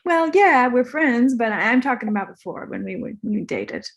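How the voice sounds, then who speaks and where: A woman's voice: fairly high pitch, expressive, moderate pace. A woman reading the part of a hapless, apologetic young male star, hesitant and stammering, at a comedy table read. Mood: awkward, apologetic.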